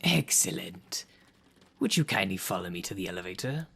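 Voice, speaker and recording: A man's voice: low voice, sing-song, normal speed, creaky, a bit bright, husky, exaggerated voice. A man playing a theatrical demon caretaker in an audio roleplay, formal and grandiose, with a dry sardonic salesman's manner. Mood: dry, businesslike. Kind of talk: scripted audio roleplay, addressing the listener.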